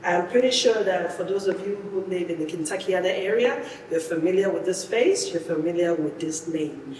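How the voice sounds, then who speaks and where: A woman's voice: fairly low pitch, expressive, normal speed, darkish tone. A woman hosting a podcast, introducing and interviewing with an emphatic delivery that repeats phrases for effect. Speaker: podcast host. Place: indoor podcast studio. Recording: echoey, reverberant room, distant mic, faint noise.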